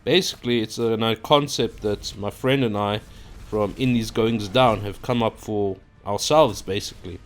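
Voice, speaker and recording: A man's voice: fairly low pitch, moderate pace. Rambling man, unscripted. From South Africa. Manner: rambling, loose, many fillers and restarts. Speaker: YouTuber. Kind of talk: unscripted casual monologue to camera. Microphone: own microphone at a computer.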